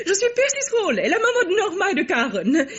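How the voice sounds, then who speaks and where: A woman's voice: very high voice, very animated, brisk, a bit bright, heavily acted. An actress playing a theatrical, effusive middle-aged society mother in an old French radio drama. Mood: gushing. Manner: effusive, affected, exclaiming. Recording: dull vintage radio recording.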